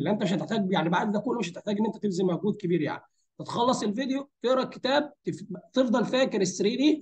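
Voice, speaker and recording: Fast, high-pitched Egyptian man teaching. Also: a little mellow, dull audio. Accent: Egyptian Arabic. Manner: conversational, asks and answers his own questions. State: hoarse, strained voice from fatigue. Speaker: anatomy lecturer. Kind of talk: informal tutorial explanation with English medical terms. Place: voice-over for a screen recording.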